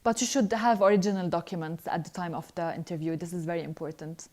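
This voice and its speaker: Young woman, alumna hosting a live Q&A. Mellow, relaxed voice, earnest, halting with fillers. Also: on the low side. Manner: earnest, hesitant, frequent filler pauses. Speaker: scholarship alumna answering viewer questions. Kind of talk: live online Q&A, reading questions then answering.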